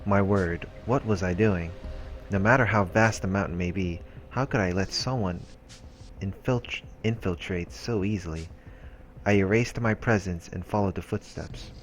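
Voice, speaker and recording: Low-voiced man reading game narration. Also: measured pace, a little hiss or hum, rough recording. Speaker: let's play host reading a visual novel aloud. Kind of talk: reading game narration aloud with casual asides. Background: game music.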